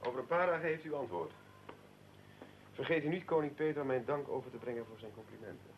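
An actor playing a suave, evasive Russian military attaché in a radio drama, unctuously polite and deliberate. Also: male voice, medium pitch, slight background noise, natural voice, rough recording. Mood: guarded.

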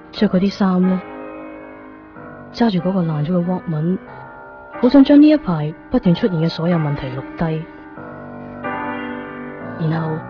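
An actress playing a lovelorn, obsessive young woman in a Cantonese radio drama from Hong Kong, speaking a brooding, halting monologue. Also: lowish voice, lightly acted. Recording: muffled 1990s radio drama over music.

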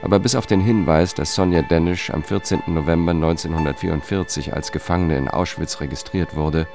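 Low-voiced, world-weary thriller narrator. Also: male voice, fairly quick. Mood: matter-of-fact. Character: sober, world-weary male narrator-investigator in a political thriller. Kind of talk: scripted first-person narration and dialogue, radio drama. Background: music.

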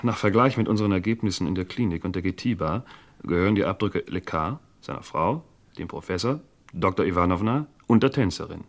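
A man's voice: sits low, lively intonation, a little fast. An actor playing a diligent police inspector in a German radio crime drama, reporting to his chief in a precise, matter-of-fact way. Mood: factual, methodical.

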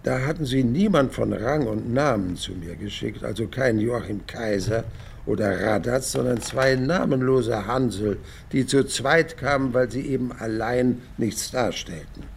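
A man's voice: mid-range voice, a little slow, vocal fry, slight background noise. An actor playing a hundred-year-old male radio playwright who rambles through his memories, wistful and proud, in a reflective radio drama monologue. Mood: wry, aggrieved. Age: 100. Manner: rambling, reflective, emphatic swings between murmur and outburst.

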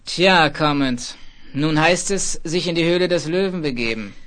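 A man's voice: a little high, normal speed, background noise, natural voice. A man playing a deferential, hesitant magazine chief in a radio play, with theatrical politeness. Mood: wry warning. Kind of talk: 1970s German radio play acting.

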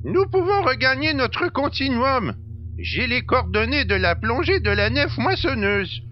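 Man acting an excitable, very high-pitched spaceship copilot. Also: unhurried, dull audio, slight background noise, exaggerated voice. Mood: wary. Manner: exclamatory, grumbling. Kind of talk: audio drama acting.

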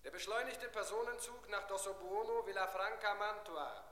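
A high, bright-voiced male station announcer in a radio drama. He declaims formally over a loudspeaker, ringing with long echo. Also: normal speed. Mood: official, impersonal. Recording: distant, echoing station announcement, long reverb.

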